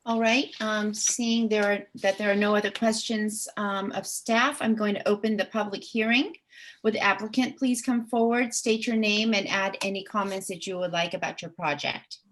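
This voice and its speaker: A woman chairing a city planning commission meeting and calling on speakers in a measured, procedural way. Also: mid-range voice, fairly even intonation, a bit bright, slight background noise.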